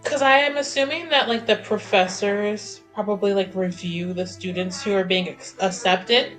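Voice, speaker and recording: A woman with a mid-pitched voice chats her way through a tabletop game with music underneath. She is informal and thinks out loud, describing her character's moves as she goes. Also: fairly even intonation, moderate pace. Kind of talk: casual improvised roleplay chat. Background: background music.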